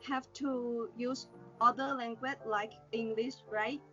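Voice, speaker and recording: Young woman, student interviewer, slow halting delivery, slightly high voice, music underneath. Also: steady tone, a bit bright, lo-fi sound. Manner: non-native, halting English phrasing. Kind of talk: prepared interview questions. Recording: music playing over the voice.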